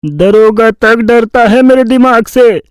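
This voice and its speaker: A man's voice: very high-pitched, slow, clean tone, no echo, clean studio sound. A man voicing a boastful, scheming, lazy village thief in a Hindi cartoon tale, with a bragging, cajoling delivery.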